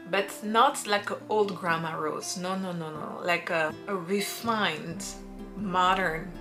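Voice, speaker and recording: Unhurried woman over background music. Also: lowish voice. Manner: unhurried, drawn-out phrasing with playful acted quotes. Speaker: perfume reviewer. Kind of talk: casual perfume recommendation vlog. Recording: music playing underneath.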